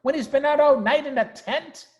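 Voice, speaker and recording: A man's voice: very high voice, normal speed, dull audio, voice pushed up. A man doing a bossy calling woman.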